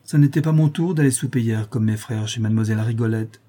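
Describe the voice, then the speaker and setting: A man's voice: medium pitch, quick pace, dark tone, breathy edge, lightly acted. A man voicing a poor, hungry child in a storybook reading, in a plaintive manner.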